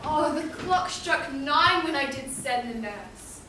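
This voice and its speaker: Shakespearean teenage heroine, female actor: impatient, pleading, very high voice, slow delivery, distant in a reverberant hall. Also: expressive. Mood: impatient, brooding. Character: impatient, lovestruck teenage girl awaiting news. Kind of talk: Shakespearean stage acting. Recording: echoey hall, distant mic, faint noise.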